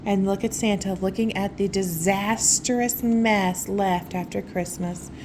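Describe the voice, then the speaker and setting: A woman reading a children's story aloud in a casual, expressive way, with conversational asides. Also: medium pitch, a little slow, noisy background. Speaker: storytime reader.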